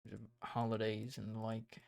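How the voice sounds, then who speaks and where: A man streaming casually, rambling and thinking aloud as he plans, his voice tired and croaky. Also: on the low side, monotone, normal speed, a little mellow, no room sound. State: hoarse, tired voice. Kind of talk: casual livestream chat.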